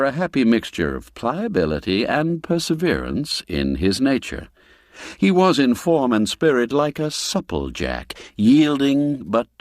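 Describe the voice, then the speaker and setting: Deep-voiced, unhurried male storyteller. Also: dry recording, studio quality. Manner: theatrical, expressive delivery. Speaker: audiobook narrator. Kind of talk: dramatic literary storytelling.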